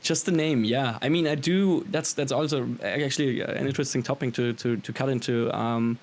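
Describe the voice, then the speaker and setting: A man's voice: medium pitch, moderate pace. A man with an Austrian accent, a native German speaker, talking casually and enthusiastically as a musician being interviewed. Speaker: musician guest on a podcast. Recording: clearly audible background hiss.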